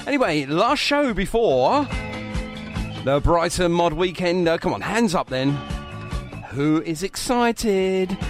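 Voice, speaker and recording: A British man presents a radio show in a fairly high, very animated voice. He is chatty and jokey, quick to poke fun at himself. Music plays behind him. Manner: chatty, jokey, self-deprecating.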